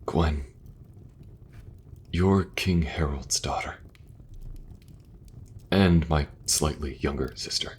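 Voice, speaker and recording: Very deep-voiced earnest fantasy king played by a man. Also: slow, pauses often, breathy edge, exaggerated voice. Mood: grave, earnest. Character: benevolent fantasy king, earnest brother and father. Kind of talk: fantasy audio roleplay acting.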